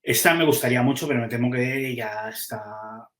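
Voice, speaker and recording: A man's voice: mid-range voice, normal speed, fairly bright tone, echoey room. A man, a comics publisher, chatting casually on a podcast and thinking aloud as he weighs which titles to publish, with frequent restarts.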